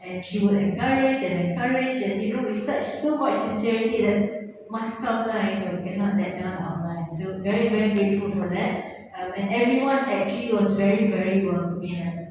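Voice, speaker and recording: A woman's voice: mid-range voice, normal speed, darkish tone. A middle-aged woman with a Malaysian or Singaporean accent giving an earnest, emphatic testimonial speech as a motivational speaker, repeating words for stress. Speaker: motivational speaker at a sales seminar. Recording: echoey hall, distant mic, muffled, faint noise.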